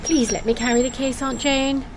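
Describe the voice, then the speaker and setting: An actress playing an eager, anxious, protective English god-daughter in a radio drama, earnest and fretful, with a breathy edge. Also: female voice, high voice, level tone, normal speed, noisy background.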